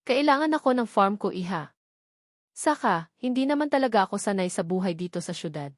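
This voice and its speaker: A woman's voice: medium pitch, animated, brisk, no room sound, clean studio sound, lightly acted. Woman reading as kindly old Filipino grandfather. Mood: friendly, homesick.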